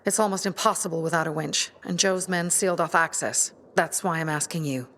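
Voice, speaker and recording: A woman's voice: lowish voice, a little fast, fairly bright tone, a little hiss or hum. An actress playing a stern, embittered young female rebel leader in a video game, firm and earnest. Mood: earnest, persuading. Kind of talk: video game dialogue acting.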